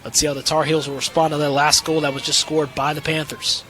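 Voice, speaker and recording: A man's voice: on the high side, little pitch movement, fast talker, sharp tone, slight background noise. A young man calling play-by-play sports commentary as an announcer. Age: young adult.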